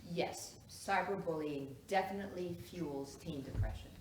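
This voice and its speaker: Woman as expert-witness psychiatrist, courtroom play: low-pitched, measured, clinical; distant, noisy live-room recording. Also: roomy sound, lightly acted. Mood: measured, deliberate. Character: formal female psychiatrist testifying as expert witness. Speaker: actor in a one-act play. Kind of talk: stage courtroom drama acting.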